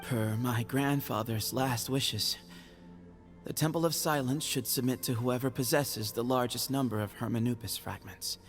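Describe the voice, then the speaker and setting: An actor playing a modest, grieving young temple heir in a video game, speaking sincerely and a little hesitantly. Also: male voice, mid-range voice, moderate pace, close to an everyday voice. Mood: subdued. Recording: game music under the voice.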